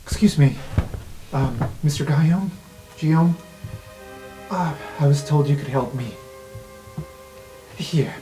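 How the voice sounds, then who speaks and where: A man acts out a timid noblewoman in a roleplay game. She speaks quietly and haltingly, full of apology, with faint music playing behind her. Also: fairly high pitch, echoey room, rough recording. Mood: timid, polite. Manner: hesitant, hushed, halting. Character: timid, apologetic woman in hiding, a nobleman's wife.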